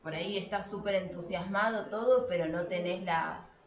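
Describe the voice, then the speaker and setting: A woman's voice: lowish voice, normal speed, lo-fi sound, crowd noise. A woman with an Argentine Spanish accent co-hosting a podcast, debating in a casual, conversational style. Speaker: podcast co-host. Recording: echoey, reverberant room.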